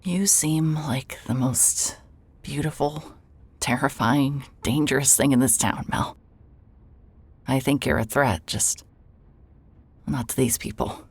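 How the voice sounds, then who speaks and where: Conflicted female reporter, very deep husky voice, hesitant and halting, rueful, naturalistic audio drama. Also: full character voice. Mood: hesitant, tender. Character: Sonny, rueful, conflicted woman reporter in a horror town.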